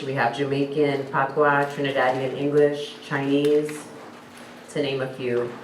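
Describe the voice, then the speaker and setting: A woman, an app co-founder, introducing herself and her product on a panel in an upbeat, promotional way. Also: extremely low pitch. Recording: echoey room from far off, faint background noise.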